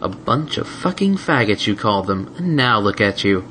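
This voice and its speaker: A man voicing Mandelbrot, a cruel male unicorn thug who taunts in slow, sneering tones. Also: fairly low pitch, big pitch swings, a bit bright, a little hiss or hum, low-quality recording. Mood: mocking.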